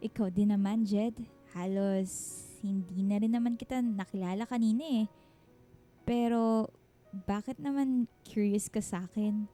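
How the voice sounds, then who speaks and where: A woman's voice: medium pitch, unhurried, mellow, close to an everyday voice. An actress with a Filipino accent playing a guarded but friendly single mother of thirty in a radio drama. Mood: hesitant, friendly. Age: 30s. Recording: music bed behind the voice.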